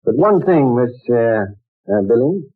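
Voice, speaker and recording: A genteel, hesitant old office boss, pitch rising and falling widely; tinny vintage radio play sound. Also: male voice, expressive, measured pace, no room sound. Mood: formal, fussy. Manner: hesitant, old-fashioned formal speech full of "uh". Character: courteous fussy old company boss stuck in the past. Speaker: office manager interviewing a typist. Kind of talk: 1940s radio acting.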